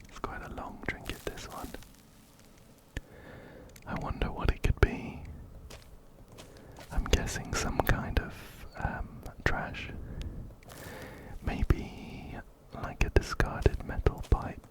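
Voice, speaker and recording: A man hosting an ASMR game playthrough in a gentle, breathy whisper, very close to a binaural microphone. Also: rough recording. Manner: gentle, unhurried, soft-spoken. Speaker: ASMR gaming host. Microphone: close binaural microphone, ear to ear.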